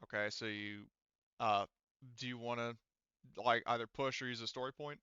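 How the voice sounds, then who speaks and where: A male game master with a bright, clear voice talks players through the rules in a casual, halting way, thinking aloud. The sound is dry and close, though slightly dull and lo-fi. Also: mid-range voice, steady tone, no room sound. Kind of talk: casual tabletop game refereeing.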